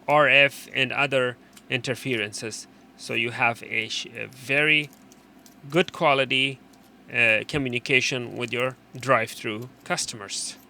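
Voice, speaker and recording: A man presenting an unscripted technical how-to, explaining in a halting way and often repeating and rephrasing his instructions. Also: medium pitch, bright, forceful tone. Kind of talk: unscripted hands-on tutorial explanation.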